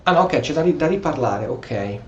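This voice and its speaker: A man's voice: fairly high pitch, a little fast, roomy sound, highs cut off, noisy background. A man giving casual, reactive commentary as he plays a video game. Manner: animated, reactive. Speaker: gameplay streamer.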